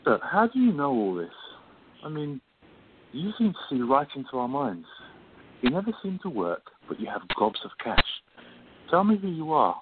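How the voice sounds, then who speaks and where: A man's voice: mid-range voice, close to an everyday voice. A man playing a teasing, wry playwright friend in a radio play, with a playful, theatrical manner. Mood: suspicious. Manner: playful, self-mocking, theatrical. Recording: tinny phone-like sound with faint noise.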